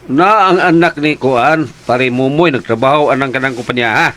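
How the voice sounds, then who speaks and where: A man's voice: mid-range voice, a bit bright, lightly acted. An actor with a Cebuano accent playing a nagging middle-aged father of twin daughters in a radio drama. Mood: coaxing. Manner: nagging, quick to scold.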